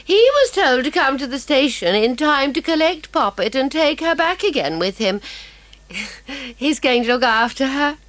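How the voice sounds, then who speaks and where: A gushing, fussy lady from a children's storybook. Her voice is very high and swoops up and down as she flutters and coos, recorded dry and close. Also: lively intonation, unhurried, a bit bright, no echo. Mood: doting, fussing. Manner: effusive, fluttery, cooing. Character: fussy, gushing wife doting on her poodle.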